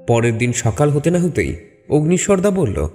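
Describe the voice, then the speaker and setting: An actor playing a detective's doubtful young sidekick, telling an audio story expressively. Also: male voice, mid-range voice, huge pitch swings, normal speed, warm tone. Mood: narrating. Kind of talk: dramatic audio story narration and dialogue. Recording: reverberant voice over music bed.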